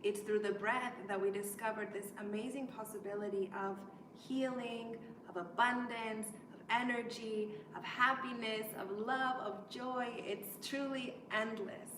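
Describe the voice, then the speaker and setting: A woman co-hosts a welcome talk in a gentle, flowing voice, carrying each thought into the next. She is picked up from afar in a reverberant room, with noticeable background noise beneath her voice. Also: a little high, fairly even intonation, measured pace, few pauses. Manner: gentle, flowing, laughs easily. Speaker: co-host. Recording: echoey room, mic at a distance, noisy.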